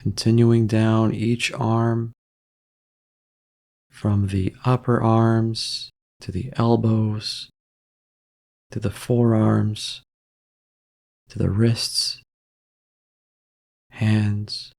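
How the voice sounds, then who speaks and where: A man leading a guided meditation in a calm, gentle, measured way, leaving long pauses between soft instructions. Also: on the low side, vocal fry.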